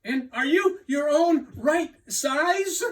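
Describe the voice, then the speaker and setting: A man voicing an excitable, vain and anxious storybook toad, exclaiming and pleading in drawn-out cries. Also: very high voice, far from the mic, a little hiss or hum, voice pushed up, full character voice. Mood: anxious, amazed. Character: excitable, vain, anxious male toad, showing off then panicking.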